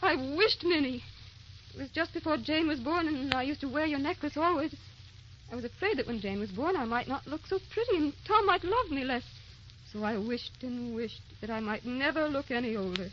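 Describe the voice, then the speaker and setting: Anxious, high-voiced young wife. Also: female voice, animated, normal speed. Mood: troubled, confessional. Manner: theatrical, wistful, pleading. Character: tender, anxious young wife afraid of never aging. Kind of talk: old-time radio drama acting. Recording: dull, hissy old radio recording.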